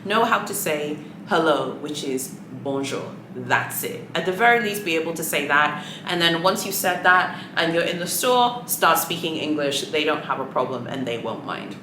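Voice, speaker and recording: A woman's voice: low voice, lively intonation, moderate pace, hardly any pauses. A woman whose first language is Swahili, chatting casually to camera with many fillers and sassy asides. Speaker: luxury shopping vlogger. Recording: echoey room with clear background noise.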